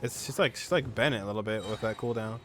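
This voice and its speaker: A man's voice: fairly low pitch, fast, a little hiss or hum. A man giving informal, reactive gameplay commentary, half talking to himself with frequent exclamations. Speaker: gaming streamer.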